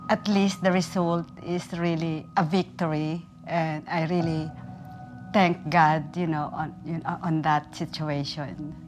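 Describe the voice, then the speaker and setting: Soft-spoken woman over music. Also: low voice, fairly even intonation. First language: Tagalog. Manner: halting, soft-spoken, earnest. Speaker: care worker sharing a testimony. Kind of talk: recorded personal testimony.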